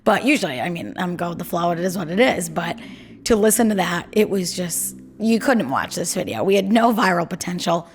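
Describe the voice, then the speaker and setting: A young woman co-hosting a podcast from home in casual chat, talking in a chatty, self-deprecating way with a low voice. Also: lively intonation, a little fast, faint music behind. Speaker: podcast co-host.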